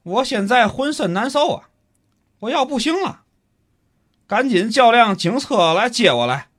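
A man's voice: extremely high pitch, very animated, unhurried, a bit bright, full character voice. A man acting a stranded commuter in a comic radio drama, whiny, pushy and insistent, with a Tianjin accent. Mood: miserable, pleading. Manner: complaining, insistent, colloquial.

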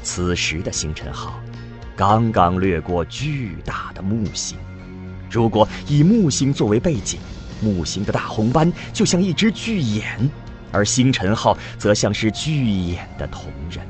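A man giving solemn, expressive scripted narration for a science fiction audio drama. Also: on the low side, measured pace. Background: music.

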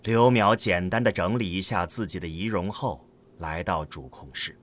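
A man's voice: sits low, normal speed. A man narrating a scripted science-fiction audio drama in a dramatic storytelling style. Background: faint background music.

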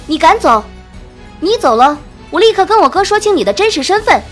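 Narrator lady doing a jealous rich young woman, snobby n sneering, high voice, big swings, soft music. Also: sing-song, moderate pace. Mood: angry. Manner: sneering, sharp, accusing.

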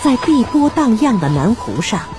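Female narrator for historical radio drama: measured declamation, animated range, music bed. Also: on the high side.

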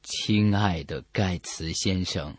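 A man's voice: sits low, slow pace, no room sound, pitched below the performer's own voice. A man voicing a courtly, politely ominous messenger from hell in a formal, ceremonious way, as in comic radio drama. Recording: music playing behind the voice.

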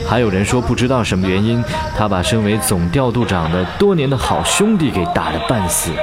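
An actor playing a wistful, tender young boyfriend in a radio drama, narrating and talking in a soft, reflective way. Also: male voice, low voice. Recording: music behind the voice.

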